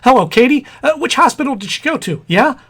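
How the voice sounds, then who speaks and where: A man playing an excitable, flustered husband expecting a baby, stammering frantically in old-time radio comedy style. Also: very high-pitched, brisk, breathy edge, exaggerated voice. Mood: alarmed, excited.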